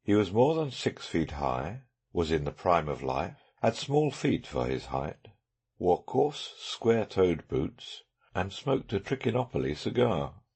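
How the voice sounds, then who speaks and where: A man voicing a brilliant, self-assured male detective with dry, measured sarcasm in an audiobook. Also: low voice, very animated, darkish tone, breathy edge, full character voice. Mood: confident, brisk.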